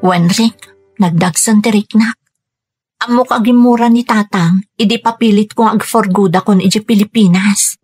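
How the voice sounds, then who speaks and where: An actress playing a dutiful, weary young Filipina daughter with an Ilocano accent, earnest and plaintive in a radio drama. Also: female voice, mid-range voice, steady tone, moderate pace, a little mellow, slightly rough, faint music behind, close to an everyday voice. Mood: troubled, weary. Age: young adult.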